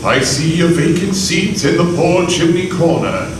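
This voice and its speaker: A man's voice: on the high side, sing-song, far from the mic, noisy background. A man playing a grave spirit, roomy stage sound. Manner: solemn, measured pronouncements. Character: stern male Ghost of Christmas Present, grave.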